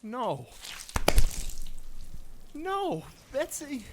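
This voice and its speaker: An actor playing a folksy, earnest cowboy waste shoveler with a plain, slow-witted, colloquial way of talking. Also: male voice, very high-pitched, huge pitch swings, heavily acted. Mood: dismayed. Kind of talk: audio drama acting. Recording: loud machinery and rain-like noise.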